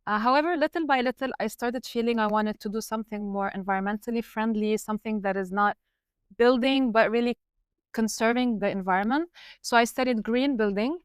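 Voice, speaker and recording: Woman from Lebanon, 30s, podcast guest; smooth, clear voice, measured, dry close sound. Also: a little high, no room sound. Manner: explanatory, measured, with fillers. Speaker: guest researcher. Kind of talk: podcast interview.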